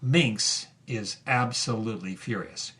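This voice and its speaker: An actor playing an earnest, scholarly podcast host, narrating a scripted documentary drama with measured, emphatic phrasing. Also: male voice, on the low side, fairly bright tone. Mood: earnest, engaged.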